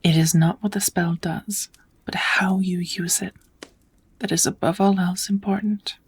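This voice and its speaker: A woman voicing a kind, patient witch in a soft, often whispered ASMR roleplay, teaching magic in a gentle, measured, slightly formal way. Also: lowish voice, steady tone, husky. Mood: calm, patient.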